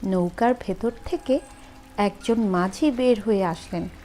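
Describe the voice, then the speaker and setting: A woman narrates a scripted horror story dramatically, with suspenseful, deliberate phrasing and pauses. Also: medium pitch, warm tone, a little hiss or hum.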